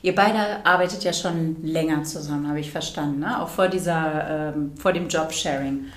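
A woman's voice: low-pitched, moderate pace. A woman from Berlin speaking German as the host of an interview podcast, introducing and questioning her guests.

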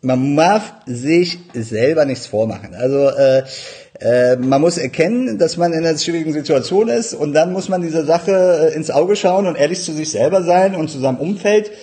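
A man's voice: a little high, expressive, normal speed, warm tone, husky. A man in his forties, born in Iran, talking as a guest in a casual interview about running his café, with many fillers and easy laughs. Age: 40s.

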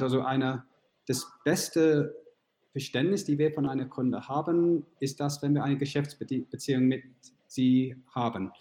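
A man with a British accent speaking German as a non-native, halting and searching for words as a podcast guest. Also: medium pitch, warm tone. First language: English. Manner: non-native grammar slips. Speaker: founder of a financing startup, guest. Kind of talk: podcast interview.

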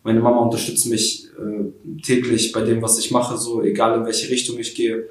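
A man's voice: medium pitch, flat delivery, normal speed, reverberant, rough recording. An eighteen-year-old man from Ukraine, a social media creator, answering interview questions casually with lots of fillers and restarts. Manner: hesitant, many fillers and restarts.

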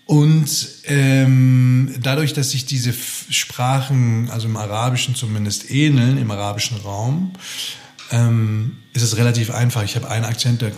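A man's voice: medium pitch, steady tone, moderate pace, a little mellow. Man, hesitant, in an echoey room. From Austria. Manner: hesitant, many fillers and restarts. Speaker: actor, interview guest. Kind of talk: casual conversational interview. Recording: roomy, echoey sound.

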